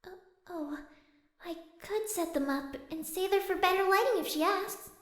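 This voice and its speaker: A reader voicing a shy, gentle young female pegasus pony from a cartoon, caring and fretful, with a soft, hesitant manner. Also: very high voice, normal speed, echoey room, voice pushed up. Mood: fretful, hopeful. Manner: soft, sweet, hesitant self-questioning. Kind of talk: cartoon character in audiobook reading.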